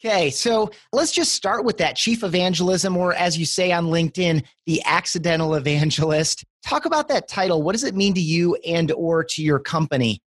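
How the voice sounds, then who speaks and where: A man's voice: a little high, lively intonation, normal speed, few pauses, no room sound. A man speaks as a podcast interviewer, conversational and encouraging. He phrases things articulately. The recording is dry and close, with no room sound around him. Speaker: guest podcast host, interviewer.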